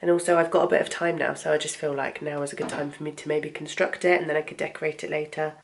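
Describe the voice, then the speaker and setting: A woman's voice: low voice, little pitch movement, fast, vocal fry. A young woman vlogging from her home kitchen, chatting casually while she cooks, with a stuffy, bunged-up voice from a cold. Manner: rambling, conversational, talks while cooking. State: congested with a cold. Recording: echoey room, faint noise.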